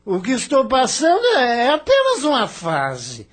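A man's voice: very high-pitched, wildly swooping pitch, unhurried, heavily acted. An actor playing a bitter, boastful, hard-drinking man in a radio drama, haughty and theatrical, with a Brazilian accent. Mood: grandiose, defiant.

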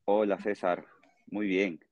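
A man with a Peruvian accent answering interview questions conversationally as a guest, explaining in a halting way and often checking with a short tag question. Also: low-pitched, little pitch movement, darkish tone, no echo, dull audio. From Peru. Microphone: remote call.

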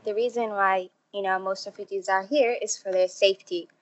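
A young woman from Somalia, a refugee youth program organizer, answering interview questions slowly with many fillers. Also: mid-range voice, fairly even intonation. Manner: slow, halting, many fillers. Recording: muffled lo-fi audio.

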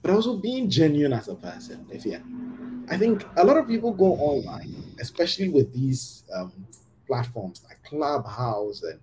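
A man hosting a casual podcast conversation, emphatic and animated, often checking in with "right?". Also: fairly high pitch, normal speed, noisy background. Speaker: podcast host.

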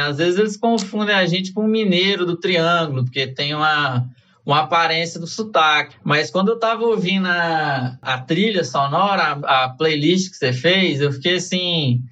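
Man, fairly high voice, explanatory chat, muffled audio. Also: fairly bright tone. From Goiás, Brazil. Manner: thoughtful, explanatory. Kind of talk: casual conversation. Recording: dull lo-fi audio.

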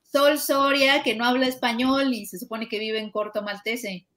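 A woman, a podcast co-host who jumps in with quick quips. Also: on the high side, steady tone, a loud crowd. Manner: quick quips, laughing asides. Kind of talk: casual chat. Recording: clear background noise.

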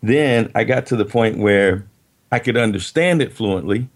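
A middle-aged man, a guest in an interview, talking in a reflective, halting way with many restarts. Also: lowish voice. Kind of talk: casual interview chat.

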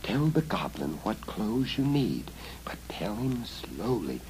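A man voicing a tiny helpful storybook mouse in a secretive, breathy whisper on an old LP. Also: on the low side, big pitch swings, slow, a little mellow. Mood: hushed, cautioning. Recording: noisy vintage LP recording.

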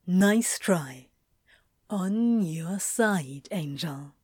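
A woman's voice: fairly low pitch, animated, very slow, a little mellow, husky, no room sound, studio quality, exaggerated voice. A woman playing a teasing, amused male exercise instructor in an audio fiction reading, playful and coaxing but firm.

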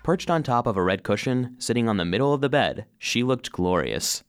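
A man's voice: mid-range voice, lively intonation, normal speed, background noise, lightly acted. A man playing an earnest, eager cleaning robot who narrates his own story expressively in a children's audio drama. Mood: uncertain, surprised.

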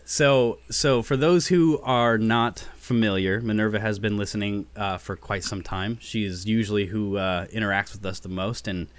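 A man's voice: lowish voice, fairly even intonation, normal speed, hardly any pauses, no echo. A man hosting a podcast in a laid-back, upbeat way, with plenty of ums and laughs. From United States. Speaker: podcast host. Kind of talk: casual chat.

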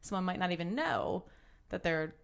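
A woman with a fairly low voice co-hosts a casual podcast. She rambles through her thoughts, leaning on fillers as she goes. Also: lively intonation, moderate pace, gravelly. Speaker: podcast co-host. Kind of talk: casual interview chat.

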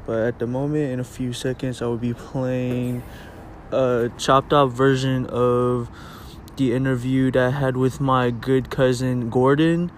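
A young man hosts a casual, homemade podcast as its interviewer. His pitch is average for a man, and faint ambient noise sits behind his voice. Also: flat delivery, a little slow.

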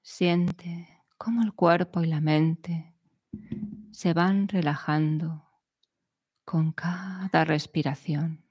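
A woman guiding a meditation in a soothing, measured manner, speaking in short phrases with long pauses. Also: lowish voice, fairly even intonation, darkish tone, breathy edge, no room sound, studio quality. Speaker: meditation guide. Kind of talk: guided meditation narration.

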